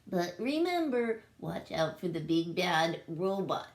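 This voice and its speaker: A woman's voice: on the low side, sing-song, takes it slowly, full character voice. A woman reads as a storybook alien mama, fond and fretful over her little ones. Her voice swings up and down with motherly care, in a noticeably echoey room. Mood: caring, cautioning.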